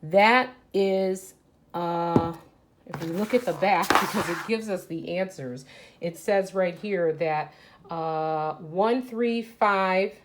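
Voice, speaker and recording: Lady teacher doing a read aloud for little kids, slightly low voice, explains stuff and asks questions as she goes. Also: takes it slowly, background noise. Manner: explanatory, asking and answering her own questions. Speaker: elementary school teacher. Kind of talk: read-aloud lesson for children.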